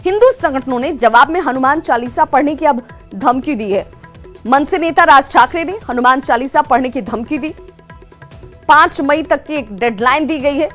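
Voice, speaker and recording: A woman's voice: high voice, normal speed, a bit bright, faint music behind. A woman anchor presenting TV news in an urgent narrating style.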